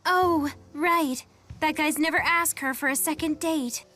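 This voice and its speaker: A woman's voice: sits high, steady tone, measured pace, sharp tone. An actress playing a perky, earnest, youthful girl in a video game. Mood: surprised, playful. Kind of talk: animated video game voice acting. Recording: studio voice with music behind.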